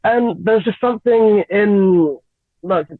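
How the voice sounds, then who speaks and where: A young woman from Lagos and London, a singer, chatting as an interview guest with frequent giggles and lots of halting fillers. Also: medium pitch, dry recording. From Nigeria and England. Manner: giggly, hesitant with many fillers. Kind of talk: radio interview, casual chat. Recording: tinny phone-line sound, dry.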